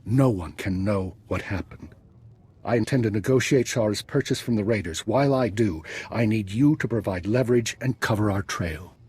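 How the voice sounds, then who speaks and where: An actor playing an older, retired spymaster in a video game, composed and dry, with measured formal phrasing. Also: male voice, fairly low pitch, darkish tone, husky. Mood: brisk, calculating.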